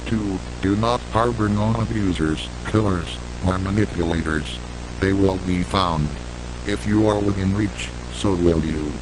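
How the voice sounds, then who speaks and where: Man, lowish pitch, authoritative emergency announcer, formal, scripted, humming noisy broadcast recording. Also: measured pace. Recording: noisy hum and hiss, retro broadcast.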